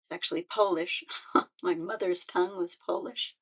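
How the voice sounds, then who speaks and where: Gravelly older woman, halting. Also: lowish voice, steady tone, fairly bright tone, poor audio. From United States. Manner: halting and heartfelt, with frequent restarts. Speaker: musician and peace activist. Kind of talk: personal reflective talk.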